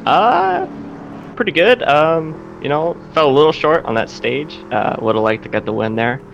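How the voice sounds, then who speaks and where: Gravelly driver on a lo-fi voice chat. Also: male voice, fairly high pitch, sing-song, unhurried, a bit bright, a little hiss or hum. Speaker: sim-racing driver interviewed over team radio. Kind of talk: casual in-race interview.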